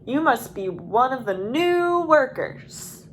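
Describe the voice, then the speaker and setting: A woman's voice: high voice, very animated, slow, slight background noise, heavily acted. A woman voicing a friendly, welcoming male farmhand in a children's storybook, with a cheery manner. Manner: cheery greeting.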